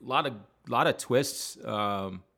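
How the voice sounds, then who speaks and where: A man's voice: medium pitch, slow pace, pushed and bright. A man co-hosting a casual talk show, rambling loosely with lots of fillers and restarts. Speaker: podcast co-host. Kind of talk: casual chat.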